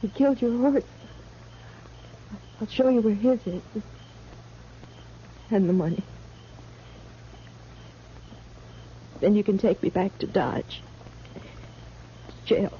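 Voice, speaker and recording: Actress as troubled Old West young woman, American, strained emotional voice, mid pitch, vintage radio drama, faint background noise. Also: a little slow, pauses often, muffled recording, close to an everyday voice. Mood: subdued, resigned. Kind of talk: 1950s western radio drama acting.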